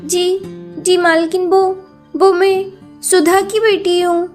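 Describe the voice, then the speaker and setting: A woman's voice: very high voice, flat delivery, slow, a little mellow. A woman playing an innocent, childlike little girl, a poor maid's daughter, in an acted children's story with music behind her. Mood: timid.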